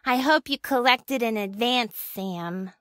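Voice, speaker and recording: A woman's voice: a little high, takes it slowly, fairly bright tone, no echo. A woman playing a perky, lovestruck, wisecracking detective agency secretary in an old-time radio drama, with gushing delivery. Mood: chirpy, wry.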